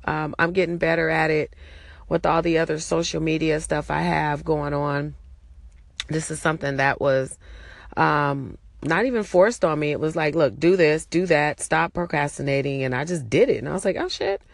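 A woman's voice: low-pitched, moderate pace, creaky, no echo, clean studio sound. A woman in her forties with a Los Angeles accent, vlogging loosely from her car while she drives, swearing casually and filling gaps with lots of ums. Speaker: vlogger and teacher. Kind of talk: casual chatty vlog.

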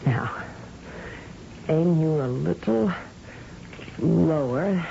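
Low-voiced betrayed wife, noisy vintage radio. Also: female voice, lively intonation, vocal fry, warm tone, full character voice. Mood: coldly gleeful. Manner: dramatic, deliberate. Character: betrayed, coolly vengeful wife at a space laser. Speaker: actor. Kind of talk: old-time radio drama acting. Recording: muffled, noisy old radio drama recording.

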